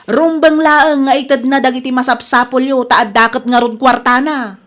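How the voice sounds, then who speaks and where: A woman's voice: high voice, fairly even intonation, normal speed, fairly bright tone. A woman acting a self-justifying, resentful grown daughter with a curt, dismissive manner, in an Ilocano-accented radio drama.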